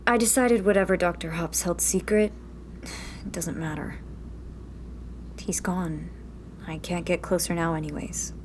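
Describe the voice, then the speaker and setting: A woman's voice: on the low side, steady tone, a little slow, pauses often, a little mellow, slight background noise, lightly acted. A woman acting a blunt, challenging rebel in a sci-fi radio drama, pointed and insistent. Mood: weary, dejected.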